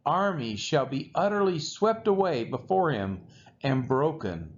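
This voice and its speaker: A man reading scripture aloud in a solemn style, with measured, deliberate phrasing. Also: fairly high pitch, sing-song. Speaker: scripture reader. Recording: dull lo-fi recording with faint noise.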